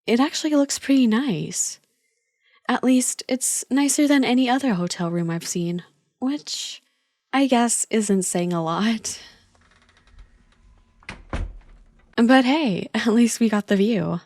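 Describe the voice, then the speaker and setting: A woman's voice: fairly high pitch, animated, a little slow, darkish tone, no echo, clean studio sound. A woman playing a friend's teasing older sister in a casual, intimate ASMR roleplay, chatty, playful and reassuring. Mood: pleased, upbeat.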